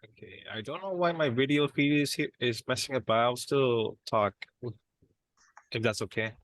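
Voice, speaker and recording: A man playing a reticent, agreeable brother in an improvised session over a video call, speaking haltingly. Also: medium pitch, a bit bright, no room sound, lightly acted. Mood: apologetic, hesitant. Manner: halting, hesitant. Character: reticent brother, an aspiring drummer, mild and agreeable. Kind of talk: improvised role-play dialogue.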